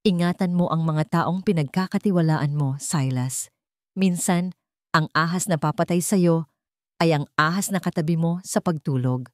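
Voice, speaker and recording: A woman voicing a poised, vengeful wronged wife in an audio drama story, calm with a sharp edge underneath. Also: deep voice, normal speed, no room sound, studio quality, lightly acted. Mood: quiet menace.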